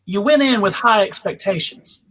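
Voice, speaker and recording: Man, podcast moderator, brisk, high voice, faint background noise. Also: fairly bright tone, low-quality recording. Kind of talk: casual chat.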